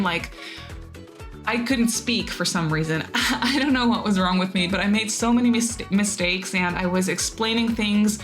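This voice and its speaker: A woman's voice: medium pitch, a bit bright. A woman who learned English as a second language, chatting in a rambling, casual voiceover, laughing at herself now and then and filling gaps with "um" and "like". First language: not English. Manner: rambly, chatty, many fillers and restarts. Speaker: Sims builder and YouTuber. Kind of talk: casual voiceover of a speed build video.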